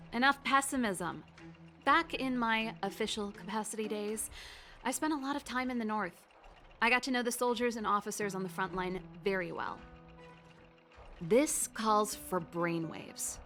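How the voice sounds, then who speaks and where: An actress playing a confident, playful older sister and inventor in a video game, brisk, teasing and expressive. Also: female voice, mid-range voice, lightly acted. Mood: upbeat, confident. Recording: music behind the voice.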